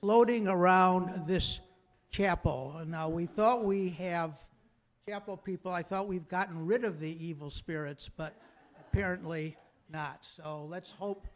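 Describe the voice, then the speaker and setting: A man's voice: high-pitched, a little slow. A man giving an informal, hesitant, lightly humorous welcome as host of a live radio play. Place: live before an audience.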